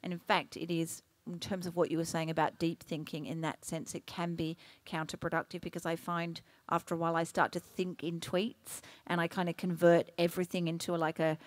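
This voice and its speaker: Low-voiced woman, dry close sound. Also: little pitch movement, a little fast, few pauses, no echo. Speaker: writer, festival panellist. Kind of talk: conversational panel discussion.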